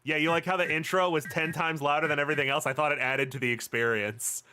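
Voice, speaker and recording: A man's voice: fairly high pitch, steady tone, fast talker, bright, forceful tone, a little hiss or hum. A man hosting a game livestream, chatting casually in a rambling, joking, sweary way. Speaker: game streamer, host.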